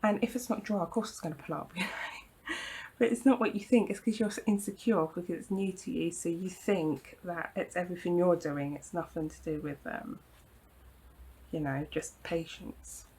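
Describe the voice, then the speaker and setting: A woman demonstrating a craft project at her home craft table, croaky from hay fever, talking in a chatty, reassuring way with frequent ums and apologies. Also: on the low side, normal speed, dark tone, poor audio. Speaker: craft tutorial host. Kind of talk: casual hands-on craft demonstration.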